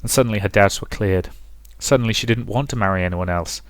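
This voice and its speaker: A man's voice: lowish voice, fast talker, no echo. A man commentating on and reading aloud from a visual novel as a let's play host.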